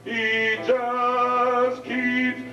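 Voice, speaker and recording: A man singing a slow show tune at a family reunion, with long drawn-out phrases. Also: very high-pitched, completely flat delivery, bright, forceful tone, faint music behind. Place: reunion hall. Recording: dull lo-fi home video, roomy hall, mic far off.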